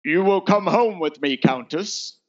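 A man's voice: high voice, unhurried, highs cut off. A man voicing a commanding, besotted alien imperial officer, imperious but pleading, as dialogue in a space opera audiobook.

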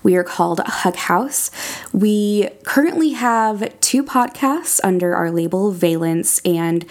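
A woman's voice: mid-range voice, animated, takes it slowly, darkish tone. A woman speaking as an interview guest, explaining things in an articulate, conversational way. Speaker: podcast critic and audio drama creator, guest.

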